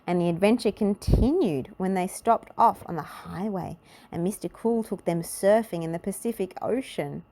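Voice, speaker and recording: A woman narrating a children's storybook aloud in an expressive, measured storytelling manner. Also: medium pitch.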